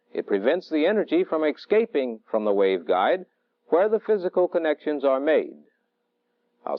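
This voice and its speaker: A middle-aged man lecturing as a military technical instructor in a deliberate, didactic way, on a vintage training film soundtrack. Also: a little high, no echo, dull audio. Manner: deliberate, didactic, measured phrasing. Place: indoor training film studio.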